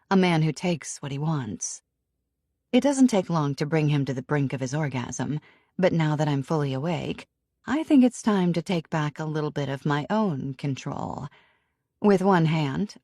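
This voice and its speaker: A low-voiced woman reads a novel aloud in the first person. She keeps an intimate, even storytelling manner throughout. The recording is close and dry. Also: no room sound. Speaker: audiobook narrator.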